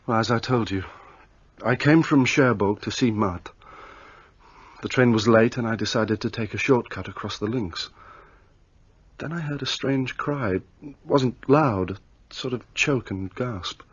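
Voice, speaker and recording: A man's voice: mid-range voice, a little slow, husky, no echo, lo-fi sound. An actor playing a troubled, guilt-ridden young man, earnest and remorseful in a radio play.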